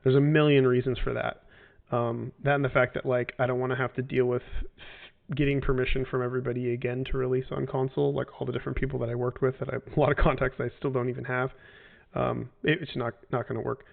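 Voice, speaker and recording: A man's voice: medium pitch, level tone, fast, gravelly. A man in his forties answering fan questions in a casual livestream, rambling and hesitant with lots of fillers and restarts. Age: 40s. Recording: tinny phone-like audio.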